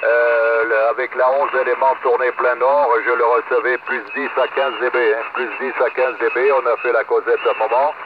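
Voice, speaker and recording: Through loud noise and dull, lo-fi sound, a man talks as a ham radio operator. He chats casually and a little hesitantly, often tagging his phrases. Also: mid-range voice, flat delivery, moderate pace, hardly any pauses. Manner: chatty, hesitant, with frequent "hein" tags.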